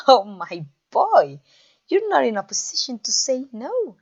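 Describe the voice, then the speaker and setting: A conquering vampire queen, acted by a woman, speaks in a fairly high voice and takes her time over every phrase. She is haughty and mocking, toying with her captive in theatrical roleplay style. The recording is slightly dull and lo-fi. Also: slightly rough, heavily acted. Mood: mockingly amused. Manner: pompous, teasing, drawn-out delivery. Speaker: audio roleplay voice actor. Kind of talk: theatrical ASMR roleplay acting.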